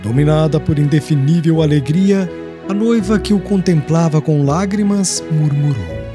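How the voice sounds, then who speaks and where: Male audiobook narrator, slightly high voice. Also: animated, moderate pace, music in the background. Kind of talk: scripted literary narration.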